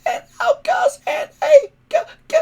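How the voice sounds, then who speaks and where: A man's voice: very high voice, fairly even intonation, a little slow, a little mellow, pitched above the performer's own voice. A man voicing an outraged little dragon from a storybook, with a strained squeal and a stutter. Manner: stuttering on first sounds. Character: outraged little stammering dragon, whiny and indignant.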